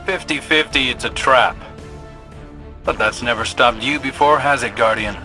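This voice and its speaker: An actor playing a wary, steady field operative in a video game, guiding in a terse, dry, conversational way. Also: male voice, medium pitch, moderate pace, faint music behind, lightly acted. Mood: focused, dry. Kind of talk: scripted video game dialogue.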